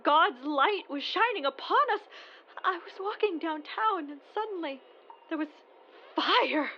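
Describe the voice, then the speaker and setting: An actress playing a distraught, fanatical woman preacher in an audio drama, lamenting in halting, repetitive sermon phrases. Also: very high-pitched, muffled recording, heavily acted. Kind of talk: modern audio drama acting.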